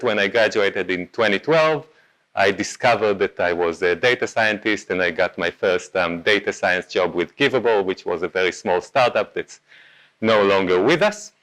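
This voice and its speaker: A man's voice: deep voice, fairly bright tone, rough recording. Israeli man giving a casual talk. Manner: casual, halting, many ums and self-corrections. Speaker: meetup speaker. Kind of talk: conference talk.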